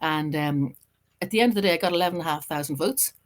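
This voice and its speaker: A middle-aged Irish woman, a journalist and writer, chatting as a podcast guest and telling her story in an animated way. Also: deep voice. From Ireland. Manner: animated storytelling, quick and lively. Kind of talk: casual interview chat.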